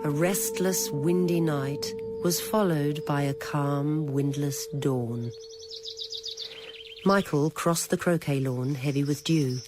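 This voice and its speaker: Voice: mid-range voice, takes it slowly, over music. A narrator reading literary, scripted prose for a radio drama. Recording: noisy old radio recording.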